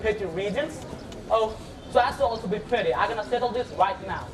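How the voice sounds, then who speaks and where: A woman's voice: mid-range voice, a little fast, echoey room, natural voice. A young woman acting a stubborn, self-important husband and bank manager in a school stage play, firm and dismissive. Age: young adult. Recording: distant mic with clear background noise.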